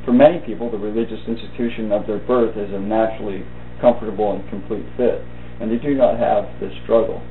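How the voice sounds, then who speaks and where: Male reader for a reflective essay, lowish pitch, measured halting phrasing, thin phone-like sound. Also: steady tone. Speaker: reader of a thought-for-the-day video. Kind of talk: read-aloud personal essay, meditation. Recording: tinny band-limited audio, roomy echo, faint hum.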